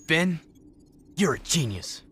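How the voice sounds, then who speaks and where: A man's voice: a little high, big pitch swings, slow pace, a bit bright, husky, full character voice. A man playing a cool young adventurer in a video game, speaking in a sly, persuasive way. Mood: sly coaxing. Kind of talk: video game character acting.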